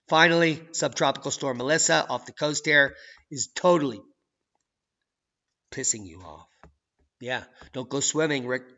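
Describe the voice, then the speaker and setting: Sarcastic guy ranting over weather news, gravelly and sharp, swears a lot, muffled lo-fi sound. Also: mid-range voice. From United States. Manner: sarcastic and profane, swings between mutters and outbursts. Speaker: channel host.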